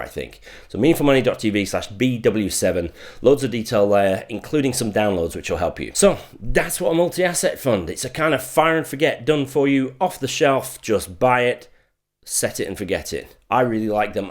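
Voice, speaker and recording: A middle-aged man with a Yorkshire accent, a finance video host chatting casually to camera in an upbeat, explanatory way. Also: lowish voice. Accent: Yorkshire English. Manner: chatty and upbeat, lively intonation.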